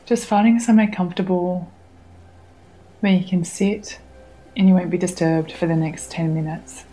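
A woman leading a guided meditation in a soothing, unhurried way, leaving long pauses and giving gentle instructions. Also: fairly low pitch, steady tone, a little hiss or hum, poor audio. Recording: music under the voice. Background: meditation music.

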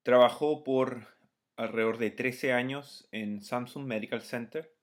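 A man hosting a podcast, reading a measured, formal introduction and interviewing. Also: medium pitch, steady tone. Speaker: podcast host.

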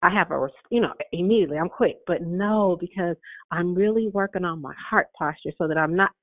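A woman's voice: lowish voice, fairly quick, no echo. A woman in her fifties calling in to share an earnest, rambling testimony, repeating words as she goes. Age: 50s. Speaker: caller. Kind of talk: casual testimony on a call-in prayer line. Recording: tinny phone line.

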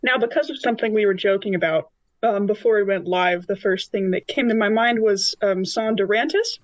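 A woman's voice: medium pitch, brisk, dry recording, lo-fi sound. A woman chatting casually as a player on a tabletop roleplaying podcast. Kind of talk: casual chat.

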